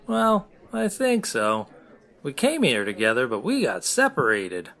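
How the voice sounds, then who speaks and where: A man playing a goofy, excitable, scatterbrained teenage boy in a 1940s-style radio comedy. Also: medium pitch, a little slow, full character voice. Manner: exclamatory, swooping.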